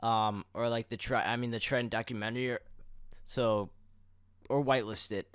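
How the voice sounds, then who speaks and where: A man's voice: mid-range voice, fairly even intonation, moderate pace, clean tone, no room sound, clean studio sound. A man co-hosting a podcast in casual conversation, explaining things in an informal, rambling way. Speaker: podcast co-host.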